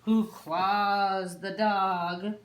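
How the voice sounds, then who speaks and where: A woman reading picture books aloud to young children in an animated, deliberate story-time style with simple, child-directed phrasing. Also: medium pitch. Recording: roomy echo, faint background noise.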